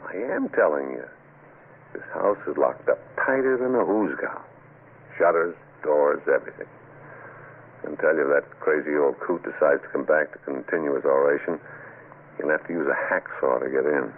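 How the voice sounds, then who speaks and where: A man's voice: extremely low pitch, normal speed. An actor playing a breezy, wisecracking husband and father with folksy banter in a vintage radio drama. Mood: reassuring. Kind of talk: 1940s radio drama acting. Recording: muffled, noisy vintage radio recording.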